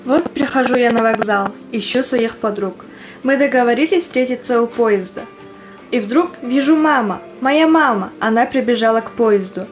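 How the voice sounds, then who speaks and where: A woman's voice: fairly high pitch, normal speed, lightly acted, rough recording. An actress playing a young woman volunteer recalling the war, telling it earnestly as a theatrical monologue. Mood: earnest remembering. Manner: earnest storytelling. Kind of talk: theatrical monologue in audio drama. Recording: music under the voice.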